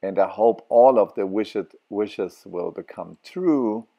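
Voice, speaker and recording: Slow, deep-voiced man with halting speech. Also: creaky, dark tone. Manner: halting, many fillers and restarts. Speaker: travel vlogger. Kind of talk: walking tour narration.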